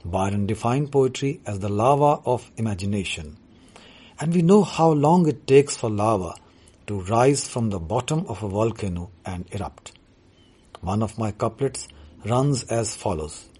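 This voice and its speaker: A man whose first language is Urdu, talking about his writing as a poet in a measured, deliberate way. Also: fairly low pitch, lively intonation, darkish tone, husky. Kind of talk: reflective talk on his writing.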